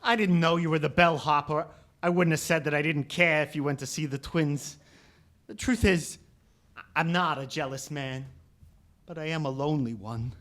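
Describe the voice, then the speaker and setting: A man's voice: on the high side, fairly bright tone, natural voice. A man playing a sincere, lonely, lovestruck suitor in a live 1940s-style radio play, earnest and sentimental. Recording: live stage recording.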